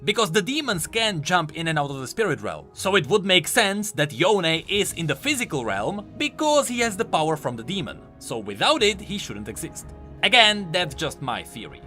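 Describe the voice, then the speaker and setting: A man's voice: high voice, big pitch swings, moderate pace, bright, forceful tone, soft background music. A man giving enthusiastic, explanatory commentary on video game lore, leaning hard on key words for emphasis.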